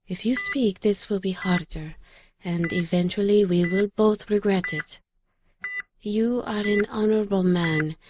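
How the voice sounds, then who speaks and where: An actress playing a solemn, resolute woman of a mystic sisterhood in an audio drama, speaking gently in formal, deliberate phrases. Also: medium pitch, lightly acted. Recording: clearly noisy background.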